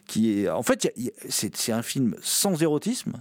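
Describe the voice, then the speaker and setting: A man in his forties talks through old genre films with a lively, swinging pitch. He circles back and restarts as he thinks. The sound is close and dry. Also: a little fast, no room sound. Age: 40s. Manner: animated, musing, many restarts. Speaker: host. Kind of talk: casual film discussion.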